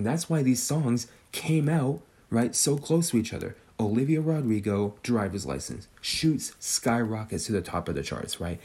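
A man's voice: lowish voice, dark tone. A man in his twenties hosting a podcast, musing casually and tagging almost every sentence with "right?". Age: 20s. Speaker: podcast host. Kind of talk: casual speculative monologue.

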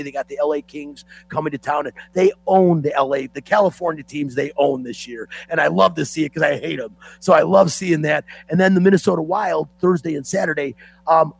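A hoarse man hosting a sports talk show, opinionated and ranting like a fan. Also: on the high side, no room sound, rough recording.

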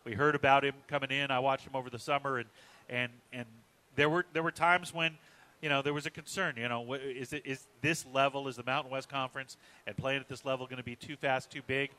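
A man's voice: mid-range voice, steady tone, a little fast, bright, forceful tone. A man hosting a live sports radio coaches show from a hotel casino restaurant, affable and chatty. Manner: affable, reads live ad copy.

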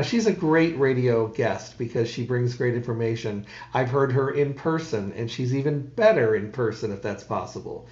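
A man's voice: mid-range voice, a little mellow, roomy sound, highs cut off. A man hosting a radio talk show, folksy and rambling with many restarts. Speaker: radio host. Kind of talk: casual radio chat.

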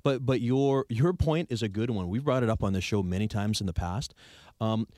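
A male radio host talks in an upbeat, chatty way, often jumping in. His voice sits a little low, and the sound is close and dry. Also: moderate pace, a little mellow, no room sound. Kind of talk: radio talk show.

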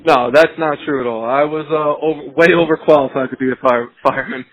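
A man's voice: fairly high pitch, brisk, a bit bright, dull audio, slight background noise. A man chatting as a podcast guest in a blunt, combative, swearing style of friendly ribbing. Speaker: podcast guest, police officer. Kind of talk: profane bantering phone chat.

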